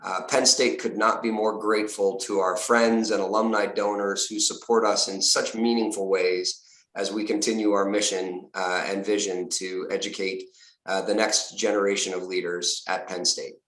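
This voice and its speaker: A man with a slightly hoarse, lowish voice speaks earnestly and upbeat, dropping frequent "uh" fillers as he thanks donors at a virtual event. His video call audio carries a noticeable roomy echo. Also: little pitch movement, normal speed, a bit bright. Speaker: university fundraising executive. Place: home or office on a video call. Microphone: video call mic.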